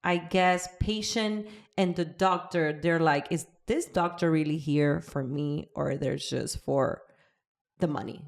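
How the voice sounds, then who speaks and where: A woman's voice: low-pitched, measured pace, vocal fry. A middle-aged woman from Cuba, hosting an interview in a chatty way, often restarting sentences. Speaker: podcast host. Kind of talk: casual interview chat.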